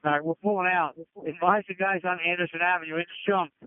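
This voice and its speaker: A man's voice: sits high, fairly even intonation, quick pace, clear, resonant tone, dry recording. A man working as a fire division officer, reporting in emergency radio traffic over a two-way radio. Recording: tinny narrowband fire radio transmission.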